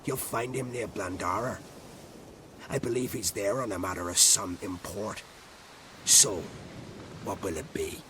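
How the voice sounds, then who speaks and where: A crotchety old druid acted by a man from a fantasy game, low in pitch and full of testy condescension. His phrases trail into breathy whispers, and there is clear noise behind him. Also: measured pace, pauses often, natural voice. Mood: imperious, condescending. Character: crotchety, haughty old male druid and alchemist. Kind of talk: scripted video game acting.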